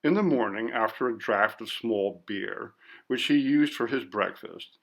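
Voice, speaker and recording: A man narrating a classic ghost story in a measured, literary storytelling manner. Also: fairly low pitch, lively intonation, creaky, poor audio. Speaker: audiobook narrator.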